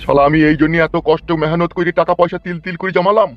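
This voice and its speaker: A man's voice: a little high, flat delivery, fast, faint music behind, close to an everyday voice. A man acts out a blustering, foul-mouthed cartoon father in dull, lo-fi sound. He scolds at breakneck speed. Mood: furious, scornful. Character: irate, foul-mouthed middle-aged village father and husband. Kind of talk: amateur comedy cartoon dub.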